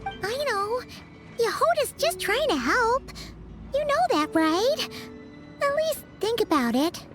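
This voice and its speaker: A woman's voice: extremely high pitch, very animated, slow pace, heavily acted. A woman voicing a brash, hot-tempered young girl inventor in a video game cutscene, with a childlike voice. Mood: pleading. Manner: brash, outspoken. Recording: music behind the voice.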